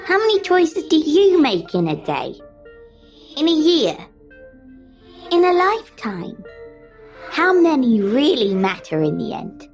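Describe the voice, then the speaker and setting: A woman playing an enigmatic keeper of a magical lift in a measured, theatrical, ominous podcast monologue. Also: a little high, a little mellow. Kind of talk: scripted podcast promo monologue.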